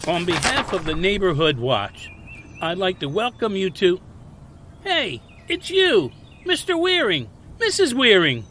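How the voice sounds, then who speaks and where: A man's voice: sits high, very animated, measured pace, noisy background. An actor playing an eager, intrusive male neighborhood-watch neighbor in an audio comedy drama. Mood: surprised, eager.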